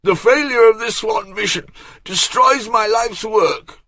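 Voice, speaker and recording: A man's voice: sits high, measured pace, pushed and bright, no echo, voice pushed up, full character voice. A man voicing a fat, fussy older nobleman with a head cold, groaning and complaining. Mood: despairing.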